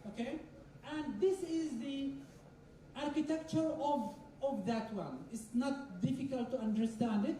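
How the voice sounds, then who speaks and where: A woman's voice: sits high, a little slow, mellow, a little hiss or hum. A woman giving a technical conference lecture, explaining step by step, repeating phrases and asking the audience questions. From Kuwait. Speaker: conference speaker. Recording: echoey hall, distant mic.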